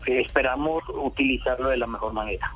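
A man, a football coach, speaking in a measured, formal way as a radio phone guest. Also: mid-range voice, a bit bright, slightly rough, rough recording.

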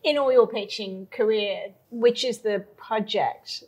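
A woman's voice: medium pitch, slow, a little mellow, slightly rough, rough recording. A woman asking questions in a conversational interview style. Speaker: interviewer, executive producer.

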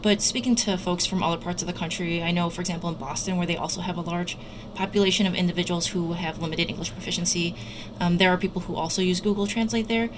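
A woman doctor and researcher giving careful, somewhat halting explanatory answers as an interview guest. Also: on the low side, steady tone. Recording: loud background noise.

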